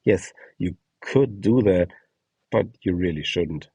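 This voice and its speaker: Deep voiced guy explaining stuff step by step, husky, measured, super dry recording. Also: expressive, dark tone, no echo. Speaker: presenter of a voice donation guide. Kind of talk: instructional narration.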